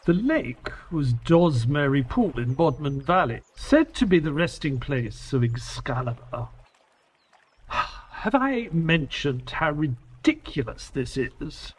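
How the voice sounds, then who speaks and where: A high, husky-voiced man playing a skeptical detective's sidekick in an audio drama. He tells the tale expressively, with pitch that swings widely, and grumbles in exasperation. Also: sing-song, takes it slowly, exaggerated voice. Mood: narrating. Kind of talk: audio drama narration and dialogue.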